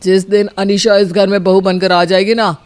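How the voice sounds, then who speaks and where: A stubborn young man played by a male actor in a cartoon family drama. His voice is very high for a man, and he sounds sulky. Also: level tone, moderate pace, smooth, lightly acted. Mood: indignant.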